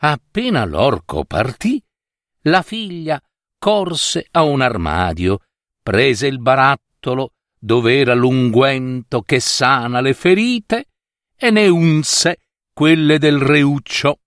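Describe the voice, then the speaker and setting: Male fairy-tale narrator, mid-pitched, highly animated intonation, measured pace, expressive storytelling. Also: dry recording, clean studio sound.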